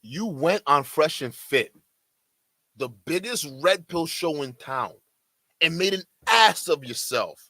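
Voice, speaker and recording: A man's voice: a little high, animated, takes it slowly, pushed and bright, dry recording. A man reacting live to a stream, exasperated and incredulous, talking straight to his chat. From Nigeria. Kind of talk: livestream reaction commentary.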